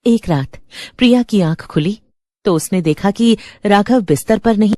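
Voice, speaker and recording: A woman's voice: medium pitch, moderate pace, mellow, no echo, studio quality. A woman narrator reading a scripted horror story in a dramatic storytelling style.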